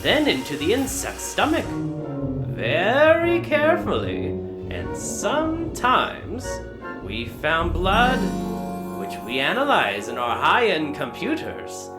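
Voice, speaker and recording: An actor playing an older, grandiose park founder, presenting a tour video with dramatic, showmanlike storytelling in an audio drama. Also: male voice, a little high, huge pitch swings, slow, reverberant, over music, exaggerated voice. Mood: proud explaining. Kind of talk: theatrical scripted presentation.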